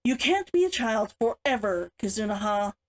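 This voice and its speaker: A woman's voice: mid-range voice, lively intonation, moderate pace, natural voice. A woman reading the part of a stern, exasperated elder sister and dutiful matriarch in a storybook, in a scolding manner. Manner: growling, muttering, scolding.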